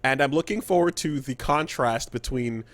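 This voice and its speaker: A man co-hosting a casual podcast about video games, speaking haltingly and repeating words mid-thought. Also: mid-range voice, fairly bright tone. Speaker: podcast co-host.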